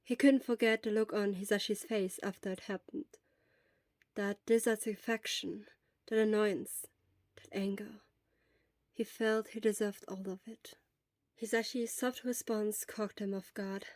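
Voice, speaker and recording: A woman reading a fan fiction story aloud as narrator, speaking softly and sometimes dropping to a whisper. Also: medium pitch, fairly even intonation, a little slow, no room sound.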